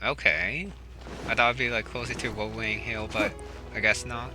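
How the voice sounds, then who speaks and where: A man's voice: on the low side, steady tone, moderate pace. A man giving casual, offhand commentary while playing a video game. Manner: offhand, musing, with fillers. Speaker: gaming commentator. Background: game music.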